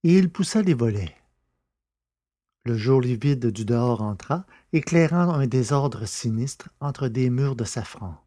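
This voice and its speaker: A man's voice: mid-range voice, lively intonation, measured pace, warm tone, no echo, clean studio sound. A man reading scripted literary narration as the narrator of an audiobook.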